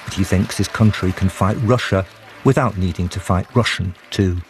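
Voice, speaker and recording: Male correspondent, low voice, reading a scripted radio report. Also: normal speed, faint music behind. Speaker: foreign correspondent. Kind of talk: scripted news report narration.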